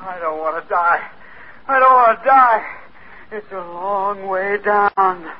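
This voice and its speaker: A man's voice: high voice, slow. A male actor playing a terrified spaceman adrift, pleading and repeating himself in a 1950s radio drama with muffled, noisy sound. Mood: frightened. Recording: muffled, noisy old radio broadcast recording.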